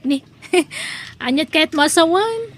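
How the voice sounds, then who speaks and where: Woman playing high-voiced, incredulous Ilocano single mother. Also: animated, slow pace, exaggerated voice. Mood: puzzled. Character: incredulous, rebuffing single mother being courted. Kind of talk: comedy radio drama acting.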